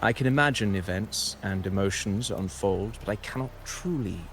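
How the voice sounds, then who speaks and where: An actor playing a calm, enigmatic wandering poet in a video game, speaking in a measured, lyrical and formal way with deliberate pauses. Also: male voice, fairly low pitch, background noise, close to an everyday voice. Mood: wistful. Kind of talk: dramatic video game dialogue, poetic narration.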